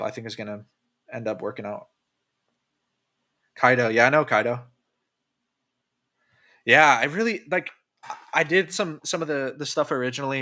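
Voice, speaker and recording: Casual young male streamer, late-night and loose, tense bright tone, dead-dry close mic. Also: mid-range voice, no room sound. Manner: loose and profane, with many fillers and restarts. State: tired from staying up late. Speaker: crypto livestreamer reading chat. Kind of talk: casual livestream chat.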